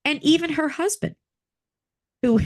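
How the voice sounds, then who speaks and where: A middle-aged woman co-hosting a casual chat with a friend, telling animated stories and laughing often. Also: high-pitched, measured pace, no echo, studio quality. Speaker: podcast co-host.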